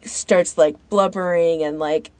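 A woman on a podcast panel chatting casually in an informal, halting way, as if thinking aloud. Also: lowish voice, steady tone, darkish tone, a little hiss or hum. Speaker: podcast panellist recommending fan audio fiction. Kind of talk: casual chat.

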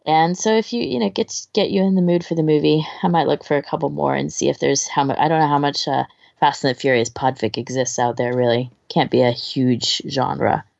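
A woman's voice: low-pitched, steady tone, moderate pace, creaky, darkish tone, dry recording, highs cut off. A woman in casual, informal chat as a podcast co-host, rambling and hesitant as she gives recommendations, often trailing off. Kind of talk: casual chat, recommending fan fiction audio.

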